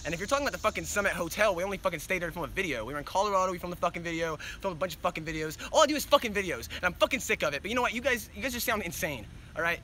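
Brash, fast-talking man acting as a cocky slacker. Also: high voice, animated, sharp tone, a little hiss or hum. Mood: angry, fed up. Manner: brash, swaggering, repeats himself. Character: cocky, profane young slacker guy, exasperated with his friends.

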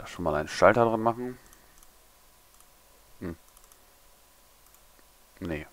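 A young man, a little hungover and hoarse, mumbling and thinking aloud as he builds in a game tutorial. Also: sits low, animated, slow, a little hiss or hum. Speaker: gaming tutorial creator. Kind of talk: casual commentary while building.

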